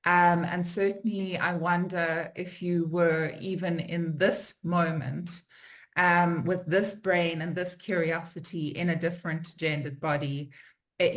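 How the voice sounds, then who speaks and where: A woman's voice: lowish voice, a little slow. A woman hosting an interview, reflecting aloud in a musing way with many fillers and restarts, recorded over a video call. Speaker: interviewer host. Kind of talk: reflective conversation.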